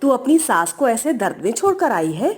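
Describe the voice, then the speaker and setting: A woman playing a gentle, concerned older mother who softly reproves her grown child in a family story. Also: a little high, sing-song, normal speed, slight background noise. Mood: gently reproving.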